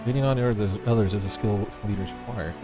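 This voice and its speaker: A man voicing a calm, measured, supportive adventurer in a fantasy game. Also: fairly low pitch, over music, pitched below the performer's own voice, lightly acted.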